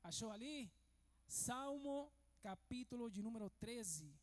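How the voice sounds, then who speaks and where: A man from Venezuela, preaching a sermon as pastor in a measured way that turns emphatic. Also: very high-pitched, animated.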